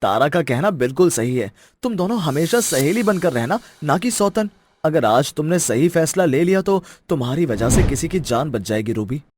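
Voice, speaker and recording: A man's voice: a little high, big pitch swings, moderate pace, a little mellow, a little hiss or hum. A man playing a reluctant, reproachful young husband in a cartoon story, earnest and dramatic. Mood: pleading. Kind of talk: Hindi cartoon story acting.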